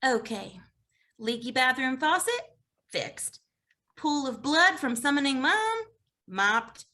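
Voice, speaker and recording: Eager young female cartoon character, played by an actress, high and bright, clipped and businesslike. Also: sing-song, takes it slowly, exaggerated voice. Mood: brisk, eager. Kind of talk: animated comedy table read.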